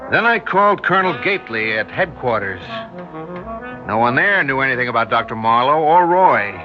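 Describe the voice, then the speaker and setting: A man's voice: medium pitch, lively intonation, normal speed, dull audio, over music, close to an everyday voice. An actor as a shaken male narrator. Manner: tense, shaken, first-person narration. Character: male narrator-hero, frightened radio engineer. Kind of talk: 1960s radio drama acting.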